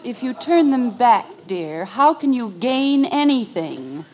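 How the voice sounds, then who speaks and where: An actress playing a sensible, patient middle-aged wife and mother with a husky voice in an old radio sitcom, reasoning and fussing mildly. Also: a little high, big pitch swings, takes it slowly, highs cut off, noisy background. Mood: puzzled, correcting. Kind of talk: 1940s-50s radio sitcom acting.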